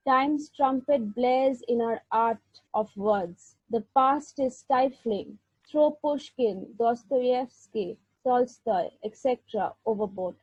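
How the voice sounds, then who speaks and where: A woman giving an illustrated lecture, reading verse aloud and narrating in a measured, deliberate way with occasional slips. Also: fairly high pitch, breathy edge, no echo. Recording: dull, lo-fi sound.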